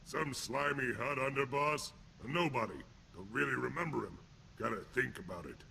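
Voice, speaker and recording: Gravelly, brutish alien gangster thug. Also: male voice, medium pitch, moderate pace, pushed and bright, a little hiss or hum. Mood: menacing. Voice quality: gravelly, rough. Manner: crude street-gangster slang, taunting and blunt. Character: brutish, sneering male alien thug and ex-convict enforcer. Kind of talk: video game character acting.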